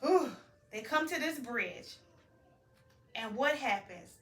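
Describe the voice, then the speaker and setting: A woman telling a story to a young child as a mother, animated, prompting with questions and repeating the answers. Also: sits high, slow, soft background music. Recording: roomy, distant home recording with faint noise.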